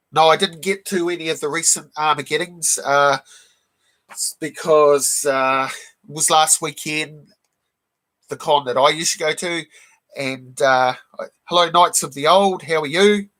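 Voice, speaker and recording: A man with a slightly high, croaky voice worn rough by a cold hosts a relaxed live stream. He chats loosely with his viewers, greets them by name and stops often to clear his throat. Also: unhurried, pauses often, poor audio. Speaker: live stream host showing comic books. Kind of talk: casual live stream chat.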